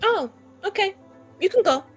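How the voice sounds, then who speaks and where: A woman's voice: extremely high pitch, animated, moderate pace, soft background music, pitched above the performer's own voice. A woman voicing a breezy, haughty, flirtatious lady who loves finery, in a fantasy tabletop game. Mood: dismissive. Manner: breezy, dismissive, coy.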